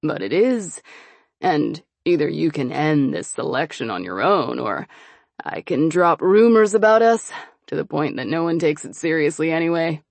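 A slick, scheming young nobleman with a lowered, gravelly voice, as a woman narrator puts him on. He talks smoothly and with menace, close and dry in a storybook reading. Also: very animated, normal speed, no echo, heavily acted. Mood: threatening. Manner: slick, coaxing, quietly threatening. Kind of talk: audiobook character voice.

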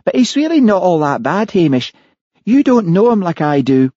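A man doing a high, insistent woman. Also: sing-song, normal speed, warm tone, no room sound. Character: Mary, a woman devoted to her husband. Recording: dull lo-fi, dry.

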